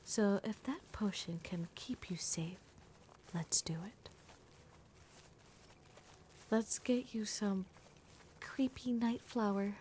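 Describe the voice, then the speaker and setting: A woman's voice: mid-range voice, slow, long pauses, dark tone, a little hiss or hum, natural voice. A woman voicing a blunt, protective young werewolf girl in an ASMR roleplay, often dropping into a whisper. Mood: protective, soft. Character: gruff, protective young werewolf girl, exasperated but caring.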